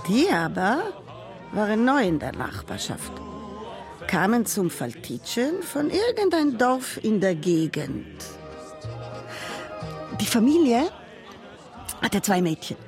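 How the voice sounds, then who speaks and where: An elderly grandmother in a radio play recounts her childhood to a grandchild. She speaks very slowly and haltingly, with broken grammar and a mid-range voice. Faint music plays underneath. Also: medium pitch, huge pitch swings, a little mellow, heavily acted. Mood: reflective. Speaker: narrator figure telling a childhood memory. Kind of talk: radio drama monologue.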